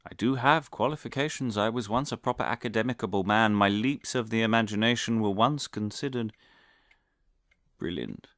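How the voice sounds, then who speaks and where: A man's voice: fairly low pitch, normal speed, fairly bright tone, no echo, studio quality. An actor playing an unhinged, middle-aged male academic in an audio drama monologue, rambling and theatrical, given to muttering and ranting. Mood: wry, defensive.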